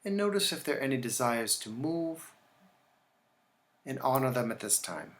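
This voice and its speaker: Man calmly guiding a relaxation meditation. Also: medium pitch. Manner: calm, instructional, with deliberate pauses. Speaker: yoga nidra teacher guiding a session.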